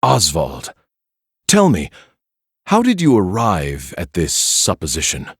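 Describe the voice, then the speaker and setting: A man's voice: deep voice, huge pitch swings, slow, husky, dry recording, clean studio sound, exaggerated voice. A man playing an urbane, theatrical scholar villain in a video game, grandiose and savoring his words. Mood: intrigued, sly. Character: smooth, theatrical scholar villain with hidden malice. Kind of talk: dramatic game voice acting.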